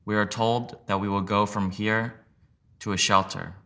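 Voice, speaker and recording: A man reading a sworn legal declaration aloud in a measured, plain, steady way. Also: fairly low pitch, creaky. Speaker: reader of sworn testimony.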